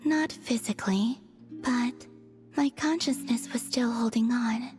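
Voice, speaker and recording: A woman playing a gentle, serene young moon maiden in an anime game, speaking softly and earnestly. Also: fairly high pitch, measured pace, close to an everyday voice. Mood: tender. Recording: music behind the voice.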